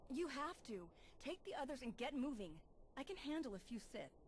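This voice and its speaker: A young woman playing a confident, spirited Jedi in a video game, with an assured, brisk delivery. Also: sits high, sing-song, slight background noise. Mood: urgent, confident. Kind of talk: video game cutscene acting.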